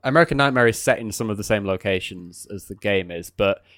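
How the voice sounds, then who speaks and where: A man with a slightly low voice speaks as a podcast co-host. The talk is casual and conversational, and the sound is close and dry. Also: fast, a bit bright, dry recording. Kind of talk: casual chat about games.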